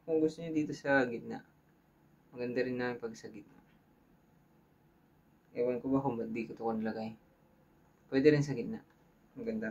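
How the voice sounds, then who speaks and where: A man's voice: mid-range voice, gravelly, muffled recording, slight background noise. A man with a Filipino accent hosts a hands-on tutorial in an informal way, thinking aloud and checking in with viewers. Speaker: tutorial host. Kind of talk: casual hands-on tutorial talk.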